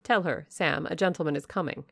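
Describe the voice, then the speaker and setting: A self-assured gentleman officer, calm and decisive, read by a woman in a low, gravelly voice, dry and close. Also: fairly quick, no room sound.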